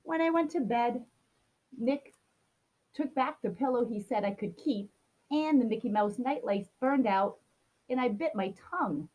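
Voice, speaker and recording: A woman's voice: fairly high pitch, lively intonation, measured pace, a little mellow, low-quality recording. A woman reading a children's picture book aloud, expressively acting out a grumpy child's complaints. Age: adult. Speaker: storybook reader.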